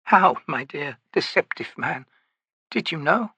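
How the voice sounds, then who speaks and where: A man voicing a weary young female priestess who speaks quietly and reflectively in an audiobook. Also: low voice, animated, a little slow, slightly rough, dry recording, pitched above the performer's own voice, full character voice. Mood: wondering.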